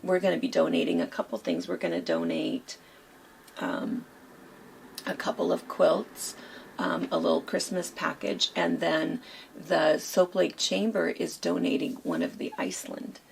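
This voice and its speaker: Hoarse, gravelly woman chatting. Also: lowish voice, fairly even intonation, a little slow, a little hiss or hum, low-quality recording. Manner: chatty, rambling with many fillers. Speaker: quilt shop co-host. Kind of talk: casual chat.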